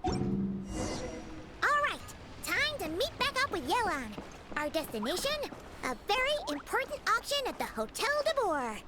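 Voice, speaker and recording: A woman voicing an excitable little fairy companion with a childlike voice in a video game. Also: very high voice, measured pace, loud music behind the voice, full character voice. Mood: determined. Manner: third-person self-reference, stammers when flustered.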